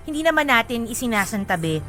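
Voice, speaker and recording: A woman's voice: fairly high pitch, very animated, fast, sharp tone, soft background music. A woman hosting a radio advice segment in casual, playful banter, joking and switching between languages. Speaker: radio show host giving advice.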